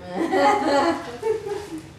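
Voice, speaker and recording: A man trades teasing, laughing remarks in a raised, lively voice. He is caught from across an echoey hallway by a home camcorder. Loud hiss covers the track. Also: extremely high pitch, extremely slow, distant mic. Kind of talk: casual joking banter. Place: house hallway with wood floor, indoors.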